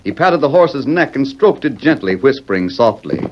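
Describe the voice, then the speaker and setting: A man narrates an old radio western in a medium-pitched, animated voice. His delivery is formal and dramatic, scripted storytelling through and through. The recording is muffled and noisy. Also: moderate pace.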